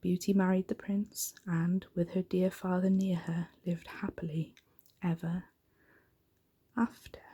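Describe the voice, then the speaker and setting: A woman reading a bedtime story in a soft-spoken ASMR style, hushed, gentle and breathy. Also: on the low side, flat delivery, a little slow.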